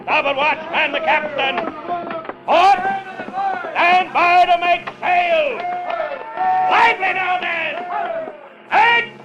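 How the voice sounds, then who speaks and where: An actor playing a sailor shouting orders to the crew in a 1950s radio drama. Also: male voice, extremely high pitch, slow, long pauses, dull audio, over a noisy crowd. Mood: urgent. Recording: old radio, loud music and crew noise.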